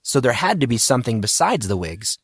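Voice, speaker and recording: A man narrating scripted fiction in a measured, expressive storytelling manner. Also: medium pitch, dry recording, studio quality. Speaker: audiobook narrator.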